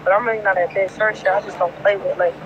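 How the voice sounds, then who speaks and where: A woman's voice: mid-range voice, steady tone, very fast, lo-fi sound. A young woman with a New York accent mixed with Southern, chatting bluntly in slang and swearing on a casual livestream while reading out comments. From New York, United States. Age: young adult. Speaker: livestream host, rapper. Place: large indoor airport terminal. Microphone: phone at arm's length. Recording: noisy airport terminal, phone livestream. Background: loud terminal ambience.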